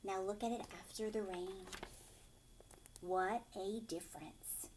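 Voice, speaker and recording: A woman teacher reading a storybook aloud to children, expressive, emphatic on key words and often asking questions. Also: medium pitch, takes it slowly, slight background noise.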